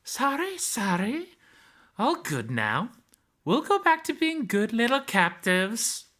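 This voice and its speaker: A man acts out a cocky hyena brawler from a visual novel. The voice sits high and bright, dripping with sarcasm. It is theatrical and taunting, playful with menace underneath. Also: wildly swooping pitch, slow, exaggerated voice. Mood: mock sweet.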